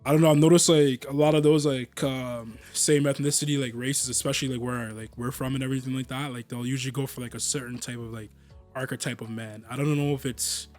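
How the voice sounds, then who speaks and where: Rambling young man, mid-pitched voice. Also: steady tone, quick pace, a little mellow, soft background music. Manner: rambling, filler-heavy, says bro a lot. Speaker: podcast co-host. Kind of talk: casual chat.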